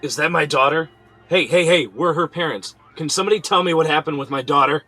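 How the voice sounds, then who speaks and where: A man's voice: a little high, brisk, pushed and bright, faint music behind. An actor playing a husband and father in an audio drama. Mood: frantic, shouting. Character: husband and father, amorous with wife, frantic in crisis.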